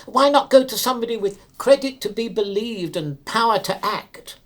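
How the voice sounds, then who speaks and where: A man's voice: very high-pitched, moderate pace, a bit bright, pitched above the performer's own voice. A man voicing an anguished, conscientious railway signalman in a storybook, pleading in agitation. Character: anguished, conscientious dark-haired railway signalman, feverishly distressed.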